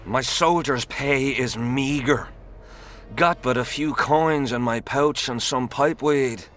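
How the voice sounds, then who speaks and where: A man's voice: mid-range voice, takes it slowly, fairly bright tone. An actor playing a shaken, embittered common soldier in a video game, telling his story bluntly and dramatically. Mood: weary. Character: shaken, embittered low-ranking soldier, humiliated and haunted.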